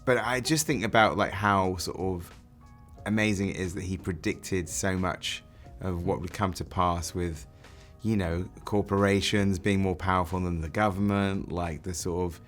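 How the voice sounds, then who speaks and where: Deep-voiced film director, thoughtful. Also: male voice, steady tone, normal speed, a bit bright, soft background music. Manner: thoughtful, restarts and reframes mid-sentence. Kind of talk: casual interview answers.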